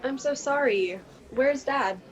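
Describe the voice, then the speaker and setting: An earnest, ambitious young adult daughter in an amateur online drama, played by a woman. Her voice is fairly high, with a quick, eager delivery. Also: dull audio.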